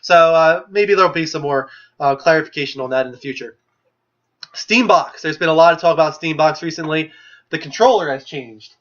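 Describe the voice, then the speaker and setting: A man's voice: a little high, brisk, fairly bright tone. A young man hosting a casual gaming news show, opinionated and full of fillers as he runs through stories. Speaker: podcast host. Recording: muffled lo-fi livestream audio.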